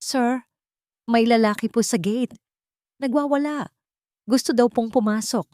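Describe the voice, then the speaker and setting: A woman's voice: fairly high pitch, a little slow, dark tone, dry recording, clean studio sound, voice pushed up, natural voice. A woman voicing a worried male security chief who reports to his boss politely and urgently. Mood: anxious.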